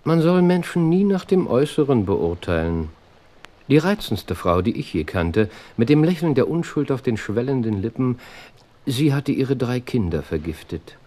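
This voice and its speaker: An actor playing a cool, analytical gentleman detective in a radio drama, dry, self-assured and clipped. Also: medium pitch, very animated, normal speed, lightly acted. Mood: cold, cynical.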